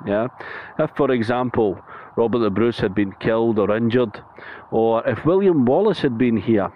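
A man's voice: medium pitch, normal speed. A Scottish man narrating casually from a motorbike as a chatty tour guide, often checking in with "yeah?". He rides outdoors, speaking through a helmet mic. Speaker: motovlogger. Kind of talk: casual ride-along narration. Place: outdoors on a moving motorbike, then stopped by a roadside. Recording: muffled, with loud wind and engine noise.

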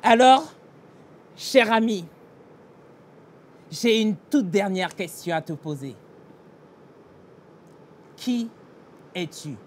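A man's voice: sits high, lively intonation, drawn-out words, long pauses. A middle-aged man pastor giving an earnest devotional meditation and prayer, speaking straight to the listener in a small indoor room. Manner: earnest, direct address to the listener, emphatic gestures. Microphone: facing a fixed camera, mid-shot.

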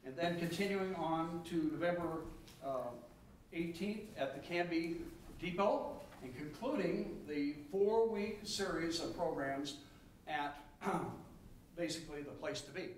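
An older man standing at a council-chamber podium, enthusiastically presenting a community program. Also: on the high side. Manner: enthusiastic, promotional, brisk bursts. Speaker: citizen presenter. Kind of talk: public comment at a council meeting. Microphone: stand mic at a distance. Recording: echoey chamber, distant mic, faint hiss.

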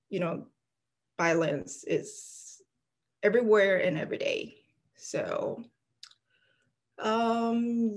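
A young woman from Okinawa talks in English with a Japanese accent, pausing often and filling gaps with soft "um"s. She sounds like she is on a video call from a room at home. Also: mid-range voice. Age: young adult. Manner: thoughtful, hesitant, many fillers and light laughs. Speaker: guest, graduate student. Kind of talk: casual interview talk. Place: apartment room, indoors.

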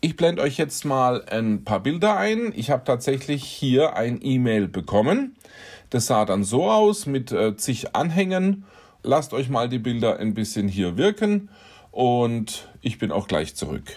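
Man, car dealer host, wry storyteller, middle pitch, reads messages aloud. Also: sing-song, a little slow, a little mellow. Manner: dry, wry storytelling about scam messages. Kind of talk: casual narration, reading out messages.

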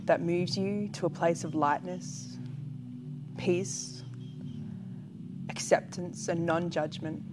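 A woman leads a guided relaxation meditation in a gentle, calm, unhurried way, leaving long pauses between phrases. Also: on the low side. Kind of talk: guided pregnancy relaxation meditation, spoken slowly and soothingly. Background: background music.

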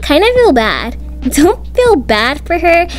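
Young woman w high voice doing dramatic slangy teen roleplay, music playing behind her. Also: very animated, takes it slowly. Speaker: video creator voicing all characters of a school roleplay story. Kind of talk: amateur voiced roleplay acting, casual teen dialogue. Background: background music.

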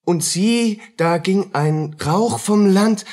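Haunted, husky soldier. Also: male voice, high-pitched, a little mellow. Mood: haunted, agitated. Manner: agitated, halting, anxious. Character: haunted, harried, submissive poor soldier. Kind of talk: radio drama acting.

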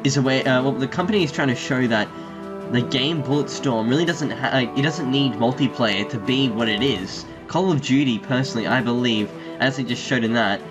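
A young man rambles excitably through a playthrough at a home desk, giggling between thoughts. His voice sits at a middling pitch. Game music runs clearly behind him. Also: a little fast. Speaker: gaming YouTuber. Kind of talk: let's play commentary. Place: home room.

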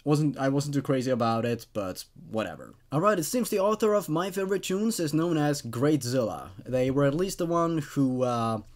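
A man's voice: a little high, animated, normal speed, hardly any pauses. A man in his twenties hosting, reading stories aloud and commenting on them in a casual, conversational way. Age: 20s. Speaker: host reading and reviewing horror stories.

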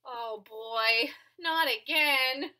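A young adult woman reading a picture book aloud to children in an expressive, dramatic and playful way. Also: high voice, extremely slow, low-quality recording. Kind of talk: animated children's read-aloud. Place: small furnished room at home.

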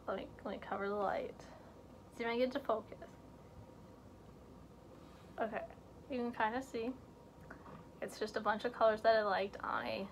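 A woman's voice: a little high, slight background noise. A teenage girl hosting a casual home vlog, chatty and joking, recorded on a computer camera mic in a room at home. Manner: chatty, joking, self-mocking asides; drops to hushed whispers while showing things up close. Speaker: vlog host. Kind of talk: casual chat. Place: indoor home room with shelves.

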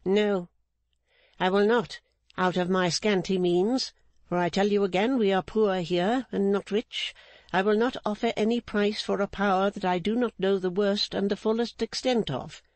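A man's voice: high voice, fairly even intonation, dry recording, voice pushed up. A man voicing a stern, resolute older woman who speaks firmly and defiantly. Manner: firm, measured, defiant.

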